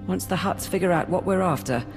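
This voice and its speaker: Woman as brisk military coordinator, low voice. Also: natural voice. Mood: brisk, businesslike. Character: brisk, efficient female military logistics and operations coordinator. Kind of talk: video game voice acting. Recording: reverberant hall, music behind.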